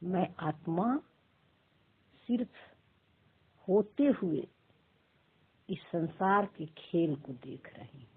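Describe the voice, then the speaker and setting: Female meditation guide, extremely slow delivery with long pauses, breathy-rough tone, muffled telephone-grade sound. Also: fairly low pitch, lively intonation. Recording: muffled narrow phone-like audio.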